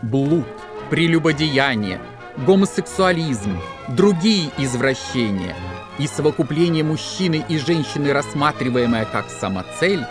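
A man's voice: a little high, lively intonation, a little slow. An actor playing a stern male party official, pompously declaiming propaganda in an audio drama with music behind him. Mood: stern, triumphant. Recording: clear music bed under voice.

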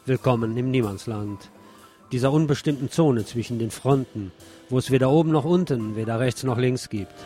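A man's voice: mid-range voice, normal speed, dark tone. Sardonic male radio essayist over music. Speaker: radio host. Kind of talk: scripted radio essay, literary first-person narration.

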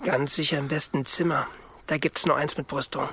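An actor in a German radio drama playing a stealthy, grumbling medieval assassin, hushed and urgent, often whispering. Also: male voice, a little high, fairly even intonation, normal speed, noisy background, lightly acted. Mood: hushed, tense. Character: stealthy, grumbling medieval assassin, twin brother to his accomplice.

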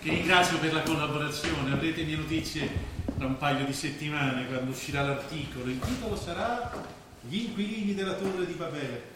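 An actor playing a brash, smooth-talking journalist and boxing patron in a stage play, commanding and patronising. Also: male voice, on the high side, fairly quick, lightly acted. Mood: brisk, patronising. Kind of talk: theatrical stage dialogue. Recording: distant, very echoey, noisy stage recording.

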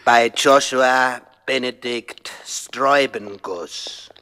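An actor playing a tough, commanding, menacing gangster in a 1960s German comic crime radio play. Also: male voice, mid-range voice, extremely slow, pushed and bright. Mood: triumphant, brisk. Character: tough, threatening male gangster.

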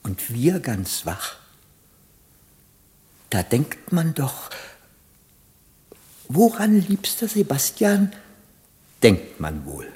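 A scheming courtier in a radio play. His pitch is middling but very mobile, and he speaks slowly. The manner is theatrical, mocking and full of sly suggestion. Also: huge pitch swings, mellow, heavily acted. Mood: sly, conspiratorial. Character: scheming, mocking courtier, insinuating male tempter. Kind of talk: German radio play acting.

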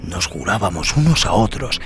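A man with a very deep voice delivers scripted horror narration. He is solemn and deliberate, leaving dramatic pauses between phrases. Music plays clearly behind the voice. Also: big pitch swings. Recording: voice over prominent background music.

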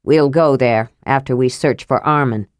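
Woman doing a gruff, low-pitched old male dwarf. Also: normal speed, no room sound, clean studio sound. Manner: gruff, dour, snorting.

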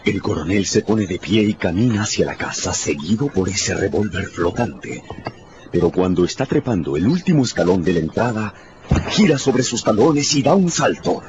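A man's voice: fairly low pitch, big pitch swings, normal speed, background noise. A man narrating a scripted story for radio in a dramatic storytelling style. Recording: dull sound with music behind.

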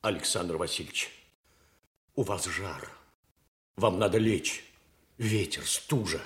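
An actor playing a loyal, concerned general in a radio drama, grave and measured. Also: male voice, sits low, a bit bright. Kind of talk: dramatic radio play acting.

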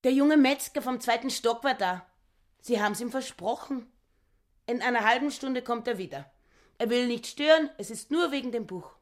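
A woman's voice: on the high side, normal speed, lightly acted. An actress playing a nosy older female housekeeper who gossips and complains in a radio drama. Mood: reporting.

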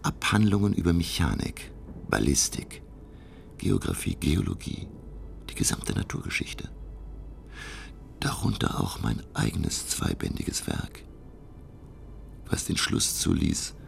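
Very deep, gravelly professor narrator. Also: male voice, wildly swooping pitch, a little slow, long pauses, whispered, soft background music, full character voice. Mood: awed, pensive. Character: male professor narrating his undersea voyage, curious, awestruck scholar. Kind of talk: German radio play narration.

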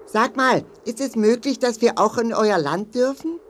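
A woman's voice: fairly high pitch, unhurried, slight background noise, natural voice. A woman voicing a friendly, determined leader of adventurers in a German children's audio play, speaking eagerly. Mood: polite, eager.

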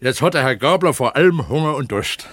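An actor playing a modest, colloquial mine worker and amateur writer in a German radio drama from the 1970s. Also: male voice, medium pitch, expressive, brisk, fairly bright tone, a little hiss or hum, close to an everyday voice. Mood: hospitable, matter-of-fact. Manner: plain, colloquial, unassuming. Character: plain-spoken working-class miner, modest amateur writer and host.

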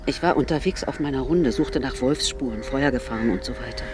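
A woman's voice: extremely low pitch, fairly quick. A woman playing a brusque, busy forest ranger in a radio drama, curt and matter-of-fact. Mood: brisk, guarded. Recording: noisy outdoor scene with cawing crows. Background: crows and outdoor ambience.

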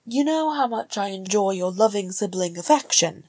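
A woman's voice: mid-range voice, lively intonation, normal speed, darkish tone, slightly rough, no echo, voice pushed up. A woman voicing a cheerful, homely male dwarf husband and father who calls out genially. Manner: cheerful, calling out.

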